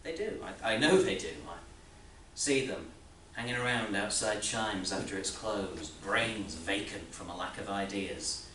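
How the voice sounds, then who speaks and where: A man's voice: fairly low pitch, animated, unhurried, bright, forceful tone. A man playing an overbearing, needling boss in a rambling theatrical monologue full of rhetorical questions. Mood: disdainful, fretful. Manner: rambling, insistent, with rhetorical questions and sudden swells. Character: Elwood, overbearing, needling male boss fretting about the young. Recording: roomy, distant mic, faint background noise.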